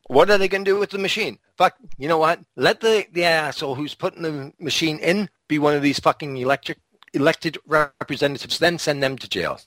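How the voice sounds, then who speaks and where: A man's voice: a little high, a little fast, a bit bright, dry recording. A man co-hosting a podcast in casual, opinionated chat, blunt and provocative, swearing freely. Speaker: podcast co-host.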